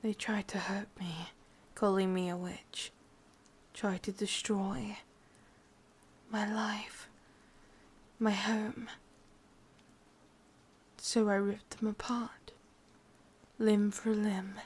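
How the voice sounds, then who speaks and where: A sadistic, bitter witch, acted by a woman with an English accent, speaks in a hushed, breathy whisper. Her delivery is slow and very even in pitch, made for an intimate ASMR roleplay. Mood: cold, menacing. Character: sadistic, brooding female witch, bitter and obsessive.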